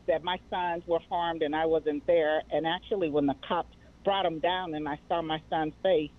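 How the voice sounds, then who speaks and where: A middle-aged woman giving personal answers as an interview guest over a remote call. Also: lowish voice. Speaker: guest, mental health advocacy director. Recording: tinny, phone-like band-limited line.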